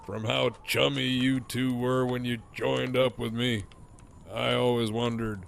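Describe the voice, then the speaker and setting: An actor playing a mocking, foul-mouthed middle-aged antagonist with a sardonic, needling drawl in an audio drama. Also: male voice, medium pitch, flat delivery, measured pace, gravelly, a little hiss or hum. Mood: taunting, amused.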